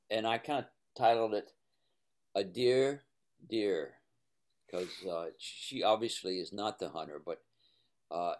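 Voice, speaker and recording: A man participant in an online art discussion, speaking in a slow, measured way over a video call. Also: on the low side.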